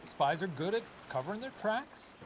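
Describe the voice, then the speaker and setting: Guy cohost, bouncy high voice, playful, noise behind. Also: normal speed. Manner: animated, mock-outraged, playful. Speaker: podcast co-host. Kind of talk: casual banter.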